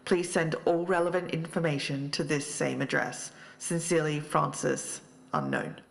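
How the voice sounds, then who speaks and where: Aussie woman podcast host, pretty low voice, reads out research then chats casually. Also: fairly even intonation, normal speed, vocal fry. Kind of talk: scripted investigative podcast narration with casual banter.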